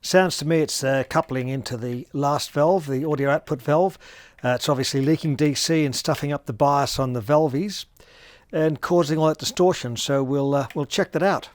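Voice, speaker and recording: Casting: male tinkerer, slightly high-pitched, casual demonstrator who thinks aloud, dry close sound. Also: moderate pace, no room sound. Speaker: hobbyist radio repairer and presenter.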